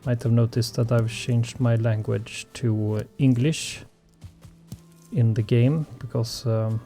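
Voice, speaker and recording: Man, Swedish first language, slow hesitant gameplay commentary, mellow voice, faint music behind. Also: medium pitch, steady tone. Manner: slow, hesitant, many fillers. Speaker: gaming channel host.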